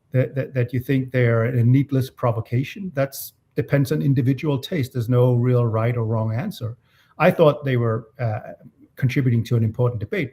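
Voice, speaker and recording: A man giving thoughtful, halting, argumentative interview answers as an author. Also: medium pitch, creaky, darkish tone. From Denmark. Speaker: author and free speech advocate being interviewed.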